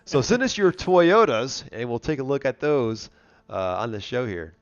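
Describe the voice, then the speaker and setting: A man's voice: medium pitch, very animated, moderate pace. A congested, nasal man with a worn voice, chatting and telling stories casually as the host of a live car show. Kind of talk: casual chat and storytelling. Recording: dull, lo-fi stream audio.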